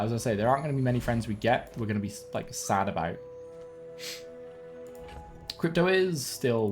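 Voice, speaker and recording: A young man streaming a card game from home, thinking aloud in a relaxed way and correcting himself, sounding a bit sniffly and bunged up. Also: medium pitch. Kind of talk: gameplay commentary. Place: home room with webcam. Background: faint game music.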